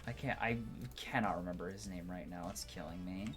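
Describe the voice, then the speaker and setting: A man's voice: low-pitched, fairly quick, fairly bright tone, faint music behind. A man hosting a gaming playthrough, chatting casually in slang and thinking aloud as he plays. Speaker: gaming video host.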